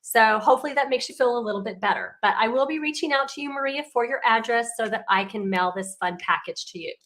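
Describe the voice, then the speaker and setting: A woman with a fairly high voice. Her voice is raspy and congested, and she talks in a chatty, easygoing way full of ums as she leads a home craft demo. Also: fast. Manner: chatty and warm, with frequent ums. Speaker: card-making demonstrator. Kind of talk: casual craft tutorial.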